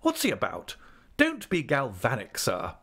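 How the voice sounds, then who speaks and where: A man's voice: on the high side, big pitch swings, normal speed, vocal fry, husky, pitched above the performer's own voice, full character voice. A man voicing a stern older aunt in an audiobook, blunt, curt and scolding. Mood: stern indignation. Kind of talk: audiobook character acting.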